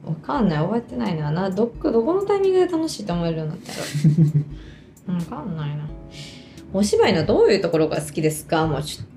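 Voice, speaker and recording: A woman with a Kansai accent talks casually as a co-host. Her mid-pitched voice moves around with lots of expression as she tells stories. The room gives a noticeable echo. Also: sing-song, fast talker, mellow, soft background music. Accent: Kansai Japanese. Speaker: co-host of a chat show; stage actress. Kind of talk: casual chat.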